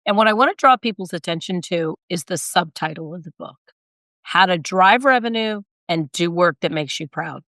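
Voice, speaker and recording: A woman guest with a raspy, hoarse voice, talking briskly and emphatically in an interview. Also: on the low side, lively intonation, fairly bright tone, no room sound, clean studio sound. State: hoarse, recovering from illness. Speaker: guest, sales consultant and author. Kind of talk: conversational interview.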